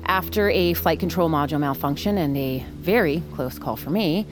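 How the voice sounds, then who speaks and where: A woman's voice: low-pitched, moderate pace, noisy background, close to an everyday voice. A woman in an audio drama playing a focused engineer recording a test log.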